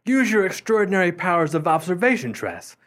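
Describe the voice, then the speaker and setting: Man acting as earnest gentleman, lightish animated voice. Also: high voice, fairly quick. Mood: challenging. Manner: formal, earnest, dramatic phrasing. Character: earnest, imaginative gentleman drawn to the eerie. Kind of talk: radio mystery acting, period dialogue.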